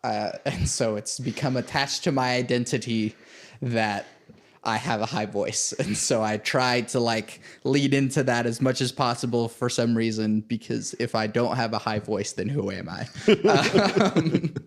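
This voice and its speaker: A man's voice: medium pitch, unhurried. A man from Venezuela, a composer, chatting casually in an interview, rambling with many fillers and frequent laughs. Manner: rambling, full of likes and fillers, laughs often. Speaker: composer and podcast host, interviewed as guest.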